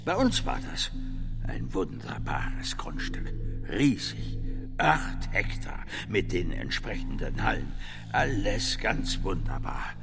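An actor playing an aggrieved, reproachful small-town official in a radio drama, with a gravelly voice. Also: male voice, low-pitched, huge pitch swings, takes it slowly, over music, full character voice.

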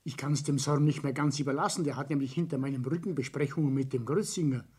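A husky, blunt father. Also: mid-range voice, fast, darkish tone. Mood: persuading, dismissive. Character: blunt middle-aged father, money-minded builder. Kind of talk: acted dialogue in a regional satire.

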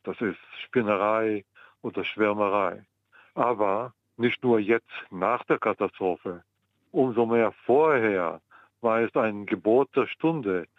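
An older man from the Mandate of Palestine, speaking as a historian in an interview, measured and pausing often with ums. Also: on the low side, no room sound. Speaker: guest historian. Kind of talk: interview answers. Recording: tinny phone line, dry.

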